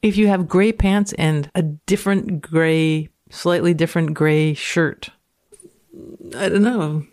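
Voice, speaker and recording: A woman's voice: deep voice, takes it slowly, a little mellow. A woman speaking as a guest on a science podcast, moving between casual chat and reading aloud from a paper.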